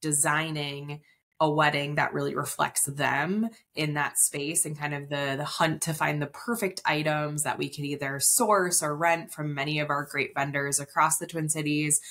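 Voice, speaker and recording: A woman's voice: sits low, little pitch movement, unhurried, pushed and bright. A woman. She explains things in a chatty, conversational way, as a guest on a casual interview podcast. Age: 30s. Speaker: interview guest, wedding planner. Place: indoor room on video call.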